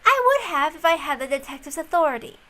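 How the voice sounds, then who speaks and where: A woman's voice: sits high, big pitch swings, fast talker, pushed and bright, pitched above the performer's own voice, heavily acted. A woman voicing a confident, scheming young girl detective in a visual novel, with a smug manner.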